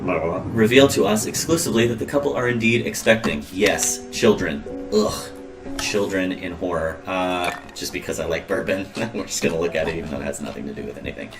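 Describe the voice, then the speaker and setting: A man chatting through his gameplay in a lowish voice, rambling and joking as he goes. He sits a little off the mic, and clear game music runs behind him. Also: a little fast, recorded from a distance. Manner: rambling and jokey, lots of ums and uhs. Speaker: let's play host. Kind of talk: casual gameplay commentary.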